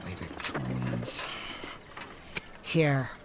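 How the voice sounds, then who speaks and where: An actress playing a drunk, defiant, sardonic 1930s wife in a period radio drama, rambling between mutters and outbursts. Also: female voice, rumbling low, huge pitch swings, drawn-out words, noisy background, exaggerated voice. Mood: evasive, sardonic. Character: Ernie Pyle's drunk, ranting, defiant, snobby 1930s wife.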